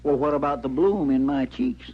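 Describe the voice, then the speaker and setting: An actor playing a simple, kindly, easily flustered frontier deputy in a radio western, with a rural Western American accent and a hesitant, folksy, stammering way of talking. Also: male voice, mid-range voice, normal speed, slightly rough, natural voice. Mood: plaintive. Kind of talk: 1950s radio western acting. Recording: muffled, noisy old radio recording.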